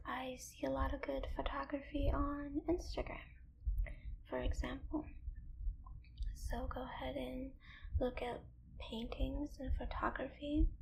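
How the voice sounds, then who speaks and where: A woman speaking in a soft, breathy whisper, gently and hesitantly walking the viewer through an ASMR video. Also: sits high. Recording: clearly audible background noise.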